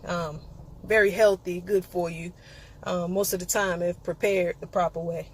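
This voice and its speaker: Young woman, lively sassy vlog storyteller. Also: lowish voice, normal speed, a little hiss or hum, low-quality recording. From eastern North Carolina. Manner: animated, playful storyteller with sassy asides. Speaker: vlogger.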